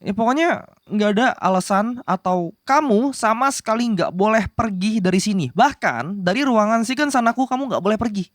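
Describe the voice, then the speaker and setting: Man voicing a young demon prince, soft-hearted tsundere, possessive and easily flustered, ASMR roleplay, close dry sound. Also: high-pitched, animated, moderate pace, no echo. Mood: flustered, bossy. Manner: stammering, flustered denials, possessive commands. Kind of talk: ASMR romantic roleplay acting.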